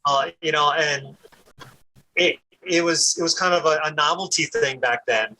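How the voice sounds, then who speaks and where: Bright, high-voiced man reminiscing casually. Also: normal speed, low-quality recording. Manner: rambling, repeats words, laughs easily. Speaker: podcast guest. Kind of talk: casual conversation.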